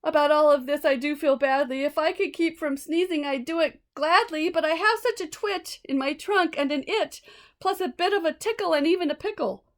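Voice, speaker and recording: A woman's voice: high voice, moderate pace, natural voice. A woman voicing a big male elephant in a children's storybook, apologetic and easily frightened, pleading dramatically. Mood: apologetic, sad. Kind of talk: children's storybook read-aloud.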